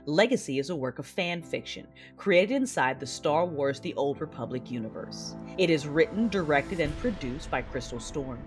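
A woman's voice: sits low, expressive, normal speed, hardly any pauses, soft background music. A woman hosting a podcast, reading a scripted outro with announcements and credits. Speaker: podcast host and producer.

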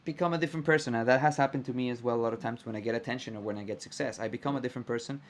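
A man's voice: medium pitch, very fast. Enthusiastic male podcast host. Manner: enthusiastic, repeats guest's words back. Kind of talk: casual interview chat.

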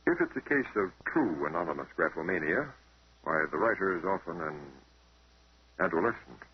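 An actor playing a pedantic middle-aged psychiatrist in a 1930s radio drama, formal and evasive, on an old broadcast recording. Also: male voice, low-pitched, animated, moderate pace, slightly rough, dull audio. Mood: uneasy, reluctant.

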